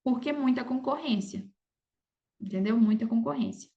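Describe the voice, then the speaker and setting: A woman's voice: a little high, normal speed, vocal fry. A woman with a Brazilian Portuguese accent giving casual advice as a podcast guest, informal, often checking that she is understood, on slightly lo-fi audio. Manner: informal, frequent check-in tag questions. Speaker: podcast guest, affiliate marketer.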